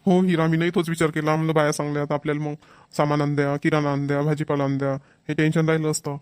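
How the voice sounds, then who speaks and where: A man's voice: on the high side, little pitch movement, rapid-fire, clean tone, close to an everyday voice. A man voicing a practical, easygoing village husband and father in a cartoon comedy, matter-of-fact, with a Vidarbha Marathi accent. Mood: practical, relieved. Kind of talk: amateur cartoon comedy dialogue.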